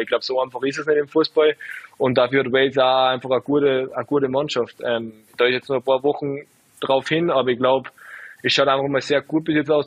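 A man in his twenties, a footballer, answering interview questions in a relaxed, halting way full of fillers. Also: medium pitch, husky, no echo. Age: 20s. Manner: hesitant, lots of fillers, relaxed. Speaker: footballer guest.

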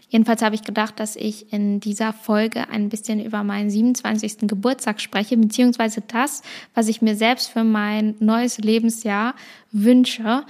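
Woman, late 20s, podcast host, flat, even delivery, thoughtful and meandering. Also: mid-range voice. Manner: reflective, rambling with small restarts. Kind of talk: casual personal monologue.

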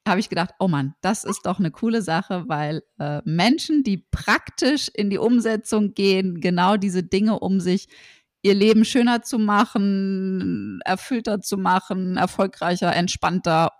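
A woman's voice: medium pitch, measured pace, no echo, studio quality. A woman from Berlin hosting a podcast interview, chatty and upbeat, full of fillers and restarts. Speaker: podcast host. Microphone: remote call, host side.

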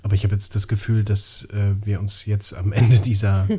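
A low, husky man chatting with a laugh. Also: level tone, normal speed, warm tone. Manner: joking, self-deprecating, laughs often. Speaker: podcast co-host. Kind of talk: casual chat.

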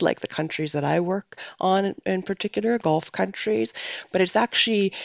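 A woman researcher explaining migration in a measured academic podcast discussion, often restarting her sentences. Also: sits low, a little mellow, no room sound, lo-fi sound. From Canada.

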